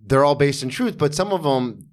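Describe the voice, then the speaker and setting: A man's voice: on the high side, animated, normal speed. A man hosting a casual comedy podcast, talking in a blunt, conversational, storytelling way with some swearing.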